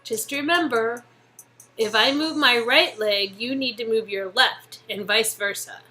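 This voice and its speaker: A woman reads story game dialogue in a playful, casual style. Her voice is high and bright, and she moves at an unhurried pace. She comes across as teasing and a little flirty. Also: faint music behind. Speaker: co-reader performing a visual novel game.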